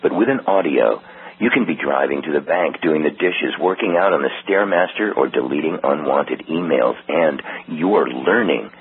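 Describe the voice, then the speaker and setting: A man's voice: rumbling low, lively intonation, normal speed, breathy edge. A man reading a scripted radio commercial in a persuasive, conversational way. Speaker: ad announcer. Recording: tinny phone-like audio.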